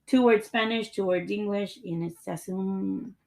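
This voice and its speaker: A woman's voice: mid-range voice, measured pace, darkish tone, rough recording. A woman in her thirties from Mexico, co-hosting a casual podcast chat and slipping Spanish into her English. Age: 30s. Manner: laughing, mixes Spanish into English. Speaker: podcast co-host.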